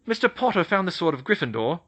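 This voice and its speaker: A man's voice: high-pitched, big pitch swings, fast talker, a bit bright, dull audio, pitched above the performer's own voice, heavily acted. A man voicing a stern older female headmistress who speaks icily and formally. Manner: crisp, icy.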